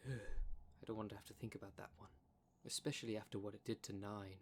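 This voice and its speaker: A man's voice: lowish voice, steady tone, moderate pace, slight background noise, close to an everyday voice. A man playing a prim, defensive dream demon in a formal, fussy, easily exasperated manner for an ASMR roleplay drama. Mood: conversational. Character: prim, defensive dream demon, one half of split creature.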